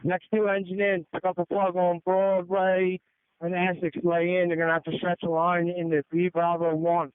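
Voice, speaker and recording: Male incident commander, high clear voice, terse orders, thin narrowband radio sound. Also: monotone, normal speed, no room sound. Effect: two-way radio. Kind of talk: fireground radio traffic. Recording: phone-like narrowband radio transmission.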